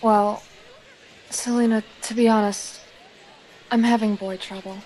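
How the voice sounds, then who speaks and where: A woman's voice: medium pitch, level tone, unhurried, a little mellow, slight background noise, close to an everyday voice, poor audio. Woman playing a reserved, self-conscious teenage girl. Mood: downcast. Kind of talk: audio drama acting.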